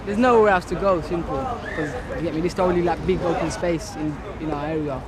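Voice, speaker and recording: A man's voice: a little high, a little fast, crowd noise. A young man with a London accent, speaking in informal street slang outdoors. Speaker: documentary contributor. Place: outdoors in a city playground.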